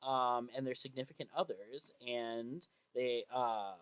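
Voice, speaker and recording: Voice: mid-range voice, takes it slowly, smooth, no room sound. A man in his twenties co-hosting a podcast in a small studio, chatting casually with many fillers and frequent laughs. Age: 20s. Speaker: podcast co-host. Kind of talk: casual chat. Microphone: studio mic on stand.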